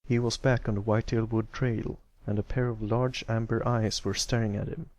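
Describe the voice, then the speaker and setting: A man's voice: fairly low pitch, level tone, normal speed, a little mellow, no room sound, highs cut off. A man reading scripted story narration as an amateur audiobook narrator.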